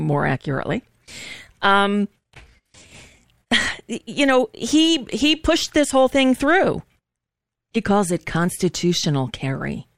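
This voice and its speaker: A woman's voice: medium pitch, a bit bright, smooth, no room sound, clean studio sound. A woman hosting a talk show, rambling through sardonic political commentary with frequent restarts. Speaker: host. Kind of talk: casual political talk monologue. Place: living in Florida.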